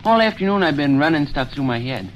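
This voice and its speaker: An eager schoolboy in a 1930s radio comedy. His voice sits a little high, and he schemes earnestly with folksy persuasion. Light noise runs under the old recording. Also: very animated, quick pace, a bit bright, low-quality recording. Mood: eager, coaxing. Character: eager schoolboy son scheming to host a party.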